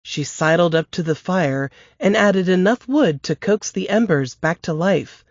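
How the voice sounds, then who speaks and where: A woman hosting a podcast who reads a novel excerpt aloud in a measured, expressive storytelling manner. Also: lowish voice, dry recording. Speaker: podcast host reading an excerpt. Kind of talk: scripted narration of a novel excerpt. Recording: dry, dull lo-fi podcast audio.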